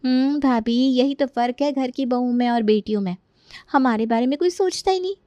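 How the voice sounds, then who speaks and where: A woman voices a young daughter-in-law in a storybook family drama, with a high voice. She sounds aggrieved and sarcastic, complaining in a dry, close recording. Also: a little fast, warm tone, dry recording, close to an everyday voice. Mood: resentful.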